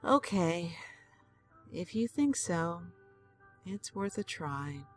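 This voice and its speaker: A woman's voice: lowish voice, sing-song, slow pace, soft background music, exaggerated voice. An actress playing an elderly woman who is worried and stubborn, and pleads and protests. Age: older. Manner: pleading, then defiant.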